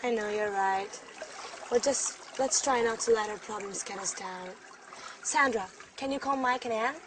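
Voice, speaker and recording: A woman's voice: a little high, a little slow, background noise, close to an everyday voice. An actress playing an eager, earnest young woman in a scripted language-learning drama. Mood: subdued. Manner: earnest, insistent. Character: eager young woman keen on ghost hunting.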